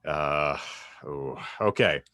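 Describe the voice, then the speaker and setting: A man's voice: rumbling low, extremely slow, sharp tone. A man hosting a podcast with casual banter and a wordy, playfully florid way of talking. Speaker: podcast host. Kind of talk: casual scripted-intro banter.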